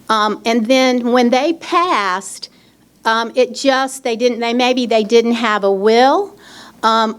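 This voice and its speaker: A woman's voice: a little high, clear, resonant tone. A middle-aged woman presenting a legal aid workshop at an expo, explaining in a chatty way with many fillers, speaking into a handheld microphone. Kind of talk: public workshop talk. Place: expo hall.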